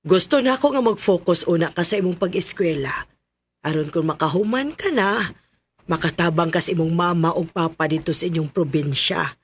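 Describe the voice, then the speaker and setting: Bossy middle-aged cebuano filipino auntie, strict, husky raspy voice up high, radio drama. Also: male voice, a little fast. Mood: stern, lecturing.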